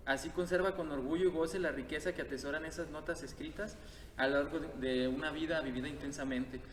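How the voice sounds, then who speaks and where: A man's voice: a little high, fairly even intonation, fast. A man speaking as a guest on a reflective podcast, earnest and hesitant, with frequent fillers. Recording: echoey hall with clear background noise.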